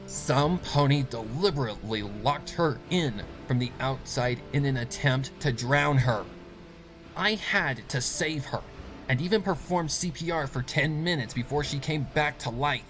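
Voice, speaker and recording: A man's voice: medium pitch, unhurried, fairly bright tone, faint music behind, voice pushed up, close to an everyday voice. A man voicing a protective, blunt adult female pony with an urgent, firm manner. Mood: firm, defensive.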